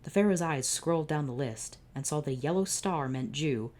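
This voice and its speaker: A woman's voice: deep voice, lively intonation, moderate pace, a little mellow. A woman hosting her channel, reading fan fiction aloud and breaking off for sarcastic, profane asides. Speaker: channel host.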